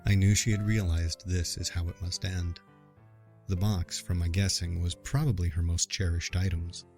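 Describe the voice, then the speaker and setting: A man's voice: sits low, little pitch movement, moderate pace, darkish tone, faint music behind. A man playing a tender, grieving adoptive father, narrating in the first person in an earnest, heartfelt way. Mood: reflective.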